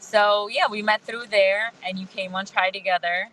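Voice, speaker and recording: She is a woman whose first language is Hindi. Her voice has a bright, sharp ring. She speaks in a reflective, candid way and laughs often. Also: mid-range voice, fairly even intonation, moderate pace, slight background noise, low-quality recording. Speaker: guest. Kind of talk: casual video-call conversation. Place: outdoors in the rain.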